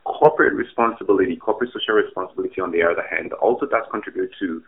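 Academic guest from Ghana, male, deep, halting with fillers, remote call sound. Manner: thoughtful, hesitant with fillers and restarts. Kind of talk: podcast interview answers. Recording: tinny phone-like remote line.

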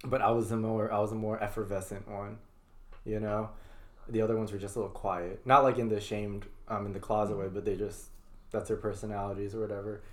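A man's voice: on the low side, flat delivery, fairly quick. Man, 20s, podcast co-host, casual and chatty. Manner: chatty, teasing, laughs often. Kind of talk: casual chat.

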